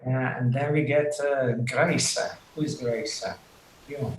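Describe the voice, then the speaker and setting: A man's voice: mid-range voice, steady tone, slow. A man leading an online class, talking unhurriedly with many fillers. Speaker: lecturer. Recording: echoey video call with hiss.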